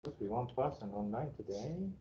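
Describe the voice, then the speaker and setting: A male university professor with a Japanese accent, opening the first day of class in a casual, joking way, halting now and then with fillers. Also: medium pitch, lively intonation, warm tone. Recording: echoey, dull classroom recording with faint hiss.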